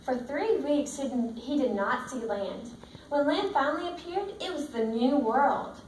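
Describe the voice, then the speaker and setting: Female teacher, storybook read-aloud, higher-pitched voice, roomy sound with faint noise. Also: lively intonation, unhurried, darkish tone. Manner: checks understanding with simple questions. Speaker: teacher reading a picture book to children. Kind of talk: read-aloud with questions to young pupils. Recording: roomy, distant mic, faint background noise.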